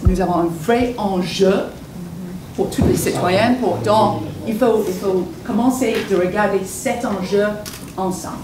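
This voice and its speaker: A woman's voice: mid-range voice, unhurried. A woman whose first language is English, speaking at a public meeting, hesitant and searching for words with many restarts. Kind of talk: unscripted public comment. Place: meeting hall. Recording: echoey room, distant mic, background noise.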